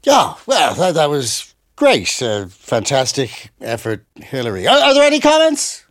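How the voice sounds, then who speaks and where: An awkward workshop teacher in a radio play. The delivery is halting and placating, with repeated words and a pitch that jumps around a lot. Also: male voice, wildly swooping pitch, a bit bright, heavily acted. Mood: awkward praise. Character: awkward, stammering, encouraging poetry workshop teacher. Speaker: actor in a radio drama.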